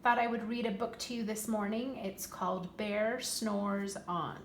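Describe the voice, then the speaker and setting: A woman reading a picture book aloud to young children like a preschool teacher, with deliberate, dramatic storybook emphasis. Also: mid-range voice, steady tone. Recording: roomy echo, light background noise.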